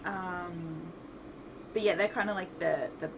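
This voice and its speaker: A woman's voice: fairly low pitch, fairly even intonation, slow pace. A woman livestream host chatting casually while she works, rambling and often trailing off mid-thought. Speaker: livestream host, visual novel developer. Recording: loud background noise, amateur livestream.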